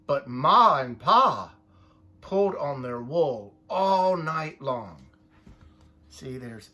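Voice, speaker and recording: A man's voice: medium pitch, gravelly, bright, forceful tone. A middle-aged man reading a children's storybook aloud with playful, folksy asides. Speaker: storybook reader. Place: small furnished room indoors.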